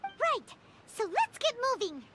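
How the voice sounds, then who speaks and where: A woman voicing a tiny, excitable, childlike female fairy companion in a video game, squeaky and exclamatory. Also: takes it slowly, very noisy, exaggerated voice. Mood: excited. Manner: exclamatory, speaks of self in third person. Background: game music.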